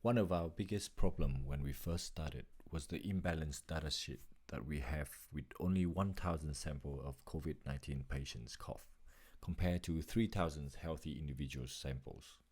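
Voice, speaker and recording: A man's voice: rumbling low, moderate pace, darkish tone, slightly rough. A man reading a scripted narration for a slide presentation as its presenter.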